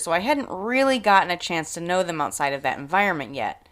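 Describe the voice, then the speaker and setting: A woman with an American accent hosting a podcast, reading scripted personal narration in an expressive, emphatic way. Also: fairly low pitch. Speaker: podcast host.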